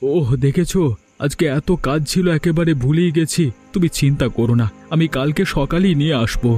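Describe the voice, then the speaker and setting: A man's voice: a little high, brisk, a little mellow, natural voice. A man voicing a poor, put-upon young village husband in a cartoon, pleading and defensive. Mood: apologetic. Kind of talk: scripted cartoon dialogue. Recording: music behind the voice.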